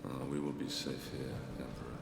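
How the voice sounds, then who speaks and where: A stoic Roman general with an extremely low voice, grave and measured. He speaks in an echoing hall as a video game hero. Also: level tone, gravelly, heavily acted. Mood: solemn, measured. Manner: measured, solemn. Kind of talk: video game cutscene acting. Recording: echoey hall reverb, music behind.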